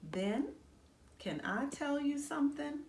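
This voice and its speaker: A woman's voice: high voice, big pitch swings, slow, a little mellow, pitched above the performer's own voice, full character voice. A woman voicing a kind, encouraging female teacher who comforts a young pupil in a children's storybook read-aloud. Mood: gentle greeting. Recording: roomy echo, faint background noise.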